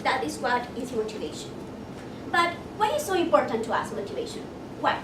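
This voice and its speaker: A woman's voice: sits high, big pitch swings. A woman from Ecuador, presenting a motivational workshop and asking her audience questions. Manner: slow and deliberate, asks the audience questions. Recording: echoey room, mic far off, noisy.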